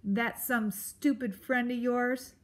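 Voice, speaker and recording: A crotchety, blustering beast from a children's storybook, played by a woman reader. He snarls and snaps rudely in a raised, high-pitched bark. His temper is brash and impatient. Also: steady tone, slow. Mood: demanding. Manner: snarling, snapping, brash and insulting. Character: grumpy old male porcupine-like beast, blustering, crotchety.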